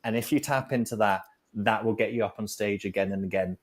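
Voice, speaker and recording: A man's voice: lowish voice, brisk. Thoughtful man explaining with analogies. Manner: reflective, builds points with analogies. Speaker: guest speaker. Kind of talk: conversational advice on a talk show.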